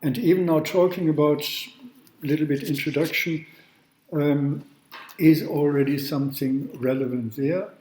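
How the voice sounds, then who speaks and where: A man from Germany, a law professor giving a university lecture in a halting way, with restarts and throat clearing. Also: a little high, a little mellow, slight background noise. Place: large classroom. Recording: echoey room.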